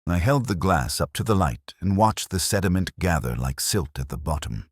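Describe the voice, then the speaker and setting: Very deep, solemn detective narrator, voiced by a man. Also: animated, dry recording, clean studio sound. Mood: somber, reflective. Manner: measured, literary, solemn. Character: melancholy, reflective English police inspector, world-weary man. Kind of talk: scripted first-person detective narration.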